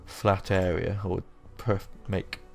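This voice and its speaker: A young man with a sore, croaky throat, rambling casually through let's-play commentary with lots of fillers. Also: low voice, steady tone, slow, a little mellow, faint music behind. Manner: rambling, self-deprecating, with many fillers. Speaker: gaming YouTuber.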